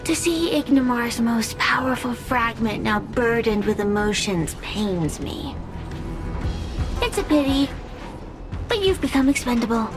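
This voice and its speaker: A woman's voice: a little high, sing-song, measured pace. A woman voicing a scheming, theatrical spirit villain in a video game, with a mocking, drawling delivery. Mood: mocking, gloating. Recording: loud music behind.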